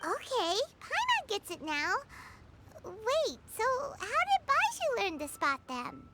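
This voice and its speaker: A very high, lively little fairy girl, bubbly and chirpy, speaking of herself in the third person. Her squeaky voice swoops up and down with energy. Game music plays clearly behind her. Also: slow, heavily acted. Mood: curious. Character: tiny floating female companion sprite, chirpy. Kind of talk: game voice acting.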